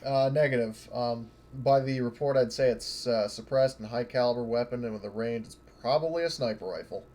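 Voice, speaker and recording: A man's voice: medium pitch, brisk, a little mellow. A man playing a tabletop roleplaying game, chatting casually in an informal, slangy, reactive way. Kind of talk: casual chat during a game. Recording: clearly noisy background.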